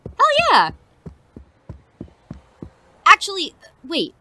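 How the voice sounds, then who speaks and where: Woman as a bright, animated teen best friend. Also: extremely high pitch, extremely slow, dry recording, exaggerated voice. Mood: excited, sincere. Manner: animated, casual, affectionate teasing. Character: earnest, teasing teenage girl student reporter, loyal best friend. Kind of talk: naturalistic audio drama acting.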